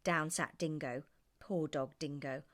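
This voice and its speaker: A woman's voice: sits low, steady tone, measured pace, fairly bright tone. A woman reading a children's story aloud in a theatrical, rhythmic sing-song way.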